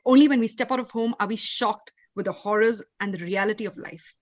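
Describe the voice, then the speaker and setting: A woman's voice: mid-range voice, brisk. A woman moderating a panel discussion, speaking with polished, emphatic phrasing.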